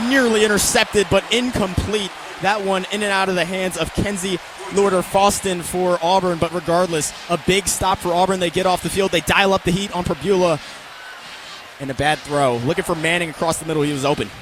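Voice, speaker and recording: A man's voice: sits high, steady tone, fairly quick. An American man calling football play-by-play for radio in clipped, descriptive announcer phrasing. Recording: loud stadium and outdoor ambient noise.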